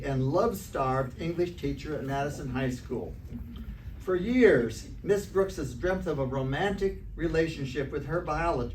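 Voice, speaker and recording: Older style radio announcer guy, measured and showy, echoey far off stage recording. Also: a little high. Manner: theatrical, measured, emphatic announcer delivery. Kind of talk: old-time radio announcing. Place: live theater performance, picked up from a distance. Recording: roomy, distant stage recording with background noise.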